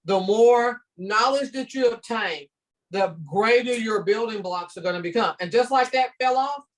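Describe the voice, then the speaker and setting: An older woman from the southern United States with a Southern accent, a teacher talking to students on a video call in a lively, storytelling and encouraging manner. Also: a little high, moderate pace, recorded from a distance, poor audio. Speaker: ESL teacher guest. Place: home room with bookshelves.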